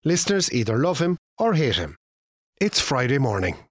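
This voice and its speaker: An actor playing a wry, self-assured former radio boss with an Irish accent, narrating a comic radio play. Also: male voice, mid-range voice, sing-song, moderate pace, noisy background, natural voice. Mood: wry storytelling.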